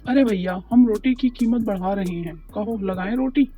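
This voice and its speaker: Man voicing a high-pitched poor tandoor baker. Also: normal speed, lightly acted. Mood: insistent. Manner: pleading, deferential, talks to himself. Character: poor, worried, humble male tandoor bread baker. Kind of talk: Urdu moral cartoon story acting. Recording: muffled lo-fi track with music behind.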